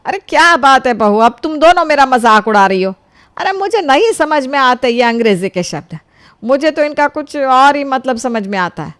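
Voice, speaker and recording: A woman acts as a naive, easily muddled older mother-in-law in a comic cartoon. The voice sits fairly high and is full of puzzled exclamations. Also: lively intonation, normal speed, a bit bright. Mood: flustered. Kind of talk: Hindi comic cartoon acting.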